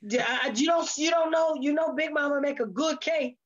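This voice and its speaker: High-voiced exhorting woman, dull audio. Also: moderate pace. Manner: exhorting, folksy, speaks in short emphatic phrases. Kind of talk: casual, preacherly interview talk.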